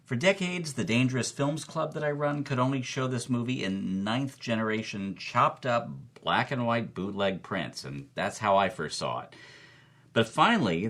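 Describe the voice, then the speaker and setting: A man's voice: on the low side, fairly bright tone. A man hosting as a storyteller, narrating with wry, emphatic delivery and punchy repetition. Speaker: podcast host, film club organizer.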